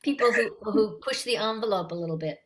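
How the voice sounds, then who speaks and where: A woman with a light voice, talking warmly and unhurriedly as a guest in a casual interview. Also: mid-range voice, a little hiss or hum. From Canada. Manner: warm, friendly, unhurried. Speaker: voice actress guest.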